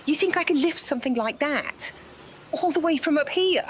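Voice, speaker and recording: A woman's voice: high-pitched, animated, normal speed, slight background noise. A woman playing a young, earnest and doubtful Jedi pupil in a fan audio drama, questioning what she hears. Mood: incredulous. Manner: incredulous, questioning. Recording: music under the voice.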